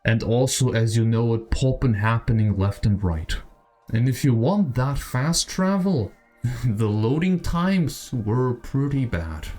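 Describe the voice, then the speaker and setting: Dark, mellow-voiced man over music. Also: mid-range voice, animated, slow. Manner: conversational, speculative, opinionated. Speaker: video creator, channel host. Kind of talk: video essay commentary on video games. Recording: music behind the voice. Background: background music.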